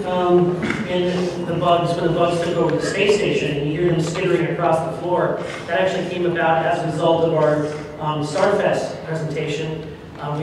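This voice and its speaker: A man with a fairly high voice gives an informal live presentation, instructing people as a director would. He is heard from a distance in a very reverberant, noisy hall. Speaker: audio drama producer directing and presenting. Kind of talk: live convention presentation to an audience. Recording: echoey, noisy convention hall, distant mic.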